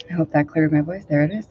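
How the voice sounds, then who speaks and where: A woman meditation teacher, a little hoarse today, talking casually and rambling with many fillers before leading a guided meditation outdoors. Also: low-pitched, muffled recording, slight background noise. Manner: rambling, filler-heavy, gentle. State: slightly hoarse, low voice.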